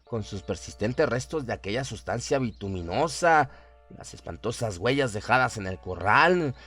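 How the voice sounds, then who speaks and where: A man's voice: mid-range voice, expressive, normal speed, a bit bright, faint music behind. A man narrating a scripted horror story in a solemn, dramatic storytelling manner.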